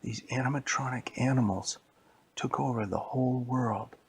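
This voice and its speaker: A man's voice: mid-range voice, steady tone, moderate pace, slight background noise, pitched above the performer's own voice, close to an everyday voice. A man voicing an earnest man from the future in a bedtime story, delivering insistent warnings. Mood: urgent.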